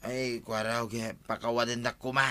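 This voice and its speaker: A man's voice: medium pitch, flat delivery, a little fast, pushed and bright, smooth, natural voice. A man with an Ilocano accent playing a sheepish villager caught stealing after gambling, pleading and protesting in a comedy drama.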